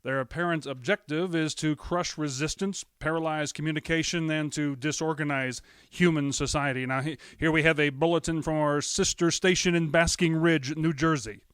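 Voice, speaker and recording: An actor playing a grave, shaken radio news announcer, solemn and halting as he reads emergency bulletins in 1930s radio style. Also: on the high side, fairly even intonation, a bit bright, clear, resonant tone, dry recording, studio quality, natural voice. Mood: urgent, halting.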